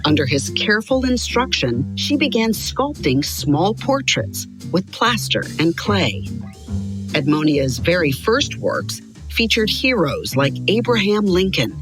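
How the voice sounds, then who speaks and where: Female narrator for kids' biography audio: deep register, highly varied intonation, expressive storytelling read over soft music. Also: measured pace, poor audio.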